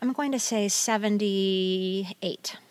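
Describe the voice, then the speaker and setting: An American woman in her fifties with a medium-pitched voice and a dry, close sound. She chats informally as one of the hosts of a podcast. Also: takes it slowly, no room sound. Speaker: podcast co-host. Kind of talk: casual chat.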